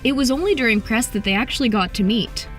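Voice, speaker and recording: A woman's voice: on the high side, fast talker. A woman narrating an entertainment video from a script. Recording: music under the voice. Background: background music.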